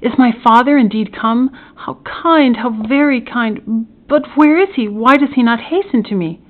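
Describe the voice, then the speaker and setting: A woman's voice: mid-range voice, normal speed, phone-quality sound, natural voice. A woman voicing a tormented, melodramatic young man in an impassioned, formal storybook reading. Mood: anxious joy. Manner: impassioned, exclamatory, formal old-fashioned phrasing.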